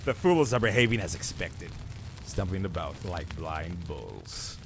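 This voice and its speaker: A man puts on a very deep, pompous supervillain from a comic, scheming and formal. His delivery swings grandly, over clear background noise. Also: very animated, a little slow, heavily acted. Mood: scornful, assured.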